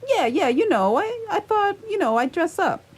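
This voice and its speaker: A nervous, evasive thirty-something man in a radio comedy, acted by a woman. The voice is high and jumps around in pitch. He hems, haws and stammers through his sentences. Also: sing-song. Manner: halting, stammering, rambling. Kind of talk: radio theatre comedy acting.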